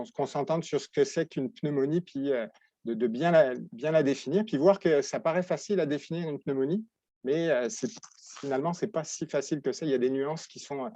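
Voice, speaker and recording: A man in his forties from France, with a French accent, giving a webinar presentation as a veterinary professor, in a halting way with many restarts. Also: a little high, a little mellow. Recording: dull lo-fi dry sound.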